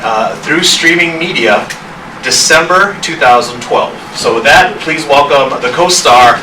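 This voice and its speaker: A man's voice: on the high side, animated, unhurried. A man hosting a press conference, formally introducing a guest with a few hesitations. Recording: echoey room, distant mic, noisy.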